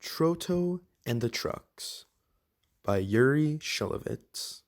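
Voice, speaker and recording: A reader voicing a friendly moose who gently reads storybooks aloud to young children in a deliberate way. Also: mid-range voice, animated. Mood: friendly, gentle. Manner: slow, deliberate, addressing children directly. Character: Alex the Moose, friendly storybook-reading moose of the enchanted woods.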